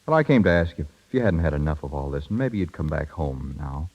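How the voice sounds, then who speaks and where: An actor playing an awkward, lovesick young husband who pleads haltingly, in a muffled 1930s radio drama. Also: male voice, a bass voice, big pitch swings, a little mellow, husky, exaggerated voice. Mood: nervous. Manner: halting, stammering.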